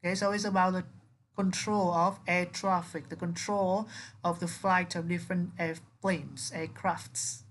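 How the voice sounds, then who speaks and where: Woman english teacher, lowish voice, explaining vocab, repeats words and rephrases stuff. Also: flat delivery, unhurried, a little hiss or hum.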